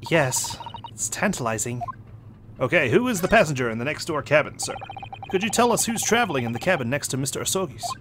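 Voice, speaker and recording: A man's voice: a little high, sing-song, fairly quick. A man with an English accent hosting a let's play, reading game dialogue aloud and making playful, joking asides. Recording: noisy background ambience.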